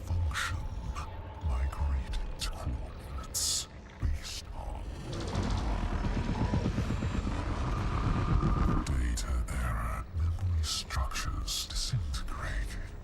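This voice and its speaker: A malevolent rogue machine from a video game, its voice abyssally deep and dragging. The phrasing is broken and robotic, gravelly and cold with gloating, under heavy processing and over a loud bed of sound. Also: male voice, loud music behind the voice, full character voice. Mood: cold, malicious. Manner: halting, fragmented, robotic phrasing. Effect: distorted robotic processing.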